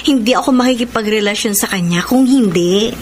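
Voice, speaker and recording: A woman playing a frank young girlfriend who is sure of what she wants. Her voice is fairly high, with a Manila accent and radio drama delivery. Noticeable ambient noise runs behind her. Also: a little fast, natural voice. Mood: assertive. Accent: Filipino, Manila Tagalog. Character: frank, demanding young girlfriend, self-assured. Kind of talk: Filipino radio drama acting.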